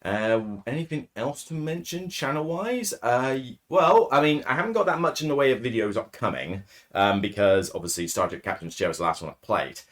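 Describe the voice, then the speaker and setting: A man with a raspy, hoarse voice, hosting a solo podcast in casual, rambling talk full of fillers and self-corrections. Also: mid-range voice, animated, fairly quick. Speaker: podcast host. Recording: distorted, too-loud mic.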